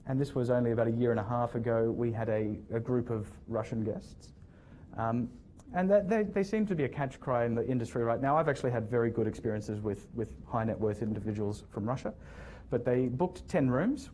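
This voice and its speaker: A man's voice: mid-range voice, dark tone, slight background noise. A man, a hotel general manager, talking casually to a room of students and answering questions, often repeating words as he starts sentences. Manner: hesitant, repeats words, friendly storytelling. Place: lecture room with audience.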